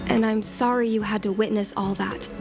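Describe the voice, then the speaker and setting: A woman's voice: medium pitch, fairly even intonation, normal speed, vocal fry, natural voice. Earnest, headstrong young woman, acted over music. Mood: earnest, grateful. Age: young adult. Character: earnest, headstrong young daughter longing to fly. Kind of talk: video game voice acting. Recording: background music under the voice.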